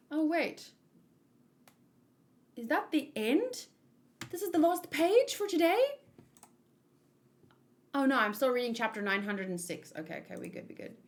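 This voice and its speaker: A woman hosting a livestream read-along, chatting casually in an excitable, playful, expressive way. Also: sits high. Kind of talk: casual livestream chat mixed with animated read-aloud.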